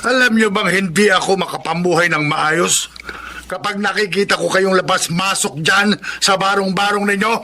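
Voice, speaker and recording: A man's voice: sits high, steady tone, moderate pace, pushed and bright. An actor playing a hateful, bullying older male neighbor in a Filipino radio drama, ranting and insulting. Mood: angry, contemptuous.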